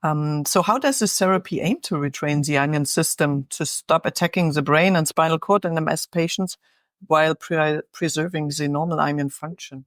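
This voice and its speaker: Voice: medium pitch, moderate pace, fairly bright tone, no echo, clean studio sound. A podcast host who opens the show and asks interview questions in a friendly manner.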